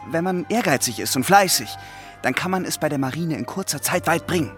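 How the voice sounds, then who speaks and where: A man's voice: a little high, animated, fairly quick, fairly bright tone, soft background music. An actor playing an ambitious, dutiful young man in a German radio drama, earnest and lecturing. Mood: hopeful, persuading. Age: young adult.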